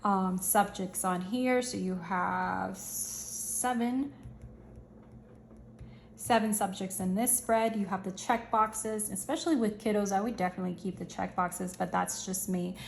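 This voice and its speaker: A woman hosting a casual planner review, chatty and upbeat with slangy asides, her voice going a little hoarse. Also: medium pitch, measured pace, reverberant, slight background noise. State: losing her voice, slightly hoarse. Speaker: channel host reviewing planners. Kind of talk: casual product review walkthrough.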